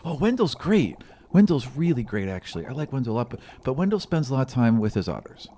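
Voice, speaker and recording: A man voicing an eager, insecure young woman student of potions in a tabletop game, halting and socially awkward. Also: medium pitch, sing-song, mellow, slight background noise, lightly acted. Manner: halting, repetitive, flustered.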